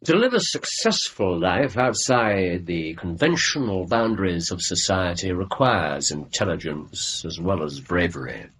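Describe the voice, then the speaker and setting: An incisive English gentleman detective of the Victorian age for radio drama. His low voice is husky and very animated. He speaks with articulate, theatrical precision and complete self-assurance. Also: unhurried, few pauses, full character voice. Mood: analytical.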